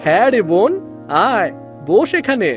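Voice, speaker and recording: A man's voice: high voice, lively intonation, measured pace, soft background music. A man voicing a young village boy, a sensible, protective big brother who is bossy and reassuring, in a children's cartoon. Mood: caring.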